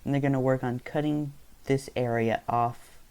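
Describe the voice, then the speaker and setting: A man's voice: medium pitch, steady tone, normal speed, darkish tone, clear, resonant tone. A young man, not a native English speaker, casually narrating a map video in a rambling way, often stopping to correct himself. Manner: rambling, self-correcting, loose storytelling.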